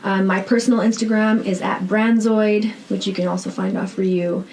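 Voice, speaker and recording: A woman's voice: mid-range voice, flat delivery, moderate pace, creaky, darkish tone, low-quality recording. A woman with a Canadian accent answering interview questions conversationally, earnest and emphatic, often checking points with "right?". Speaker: podcast guest, founder of a rehab centre. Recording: noticeable background noise.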